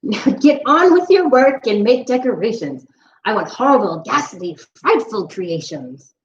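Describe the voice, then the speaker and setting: A woman's voice: on the high side, big pitch swings, unhurried. A woman doing a grumpy storybook witch who barks orders and scolds. Her voice swings sharply up and down. It is recorded in a roomy space, slightly dull. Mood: scolding. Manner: snappish and scolding. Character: bossy, grumpy female witch.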